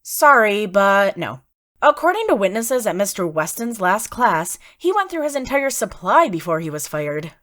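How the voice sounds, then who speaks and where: A woman's voice: medium pitch, big pitch swings, moderate pace, a bit bright. A woman voicing a composed, formal rabbit prosecutor in a fan fiction audio story. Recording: clear music under the voice.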